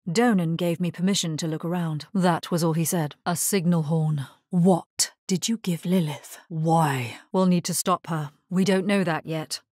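A woman playing a grave, resolute necromancer hero in a video game, husky-voiced, speaking in a measured, solemn and terse way. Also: on the low side, fairly even intonation, a little mellow, no echo, clean studio sound. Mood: firm, guarded. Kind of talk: scripted video game character lines.

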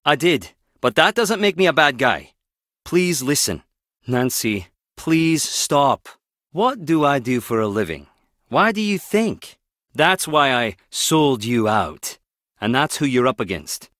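A wry guarded skip tracer for a video game, teasing but protective, pitch moves around a lot, super dry sound. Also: male voice, very animated, slow, a bit bright, dry recording. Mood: serious. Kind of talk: video game character dialogue.

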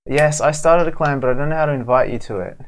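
Male gamer co-host, mid-pitched, offhand and jokey, half-distracted, light background noise with clicks. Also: fast talker. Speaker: gamer co-hosting a let's play. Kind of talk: casual gaming banter.

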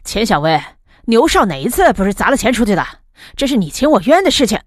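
A woman's voice: a little high, big pitch swings, fast, no room sound, studio quality, pitched below the performer's own voice. A woman voicing a smug young male lackey in an audiobook, boastful and condescending. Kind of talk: audiobook character dialogue.

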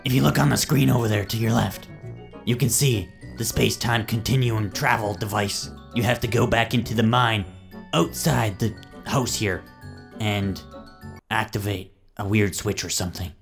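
A man's voice: lowish voice, steady tone, normal speed, vocal fry, faint music behind. A man voicing a stranded scientist from the future, giving grave instructions in an improvised game roleplay. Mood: grave, instructing.

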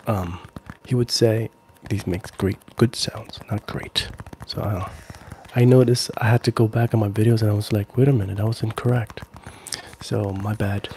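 A middle-aged man casually chatting with viewers on an ASMR live stream, drifting between soft murmured talk and whispers close to the mic. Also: lowish voice, background noise. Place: small indoor gaming room. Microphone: handheld-style recorder mic close to the mouth.

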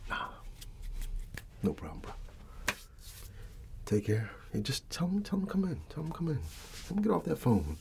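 A middle-aged man doing a casual ASMR barber roleplay, playing an easygoing uncle in a soft, breathy, often whispered voice and pausing for unheard replies. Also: a little high, huge pitch swings, noisy background. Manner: unhurried, familiar and colloquial, with pauses for unheard replies. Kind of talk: ASMR roleplay, casual one-sided chat.

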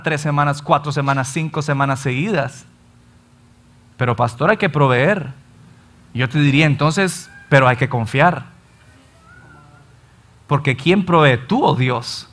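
A Salvadoran pastor in his thirties preaching an animated sermon from a church stage, often acting out his listeners' complaints. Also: a little high, moderate pace, long pauses. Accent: Salvadoran Spanish. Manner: animated, gestures widely, acts out listeners' complaints. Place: church stage in front of a large screen.

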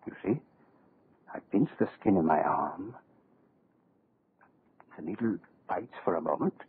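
Casting: grandiose villain plotting to rule, low husky voice, soft menace, 1930s radio melodrama. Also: male voice, expressive, unhurried, exaggerated voice. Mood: smug menace. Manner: pompous, menacing, soft-spoken oratory. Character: suave, power-hungry male dictator-in-waiting, grandiose schemer. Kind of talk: 1930s radio horror acting. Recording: muffled old 1930s radio recording.

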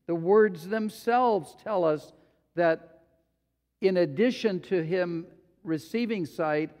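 A male pastor preaching a sermon in a slow, deliberate way, sometimes acting out quotes. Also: sits high, a little mellow. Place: church sanctuary. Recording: long hall reverb.

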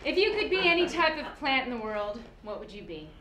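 A woman's voice: high voice, sing-song, fairly quick, sharp tone, heavily acted. A woman playing an exasperated dating-game contestant. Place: small theater stage. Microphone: far camera mic. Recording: echoey stage with background noise.

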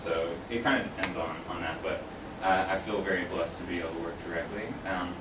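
A man in his thirties giving an informal talk as a guest speaker, answering questions in a halting way with self-corrections. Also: low-pitched, fairly even intonation. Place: small classroom, indoors. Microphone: distant camera microphone. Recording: roomy, distant mic, clear background noise.

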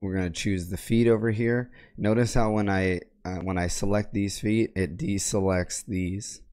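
Low-voiced sick man explaining software steps. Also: fairly even intonation, moderate pace. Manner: plain, methodical instructions with frequent throat clearing. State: sick, raspy voice. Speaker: tech tutorial creator. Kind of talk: step-by-step software tutorial. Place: home gaming room, indoors. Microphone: boom mic on arm with headset.

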